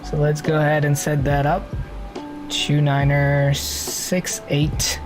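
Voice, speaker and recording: A man's voice: on the high side, completely flat delivery, slow. A man hosting a flight simulator live stream in a casual, chatty way, thinking aloud as he sets up the cockpit and greeting his viewers. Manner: greets and thanks viewers warmly. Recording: music playing under the voice.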